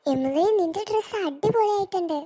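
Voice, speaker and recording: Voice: extremely high pitch, animated, a little fast, warm tone. A performer voicing a friendly, polite young cartoon schoolgirl, a loyal best friend, in a childish manner. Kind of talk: scripted cartoon dialogue.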